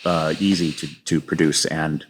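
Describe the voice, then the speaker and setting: A middle-aged man with an American accent, chatting earnestly and at length as an interview guest, telling stories in an animated way. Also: very deep voice, moderate pace, slight background noise. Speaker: podcast guest, filmmaker and puppeteer. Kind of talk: casual interview chat.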